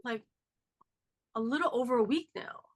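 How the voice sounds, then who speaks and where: A woman's voice: on the high side, moderate pace. A woman in her forties hosting a podcast, chatty and filler-heavy, sounding nasal from a cold. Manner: chatty, lots of "like" and "um". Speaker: podcast host. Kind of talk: casual chat.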